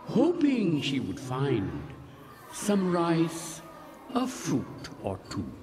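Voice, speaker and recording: Slow, sing-song male story narrator. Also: on the high side, wildly swooping pitch. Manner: sing-song, rhyming delivery. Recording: studio narration over music score.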